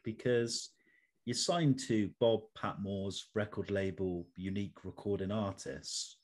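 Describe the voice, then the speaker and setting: A man acts as interviewer with a somewhat low voice. He delivers long, prepared questions in a measured and rather formal manner. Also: a little mellow, slightly rough. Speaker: interviewer, host.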